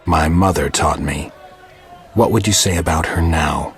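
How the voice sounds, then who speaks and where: A man voicing a gruff, stoic monster hunter with dry wit and laconic delivery in a fantasy video game. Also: a bass voice, a little slow, faint music behind, a faint crowd. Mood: deadpan taunting. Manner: deadpan, laconic. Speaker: player character of a fantasy game.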